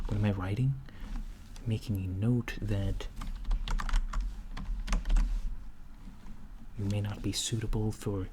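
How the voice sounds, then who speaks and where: A man playing a mild-mannered, curious alien interviewer in softspoken ASMR roleplay, asking hesitant, politely puzzled, clinical questions. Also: fairly low pitch, close to an everyday voice. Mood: calmly inquisitive. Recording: clear background noise, keyboard typing. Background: computer keyboard typing.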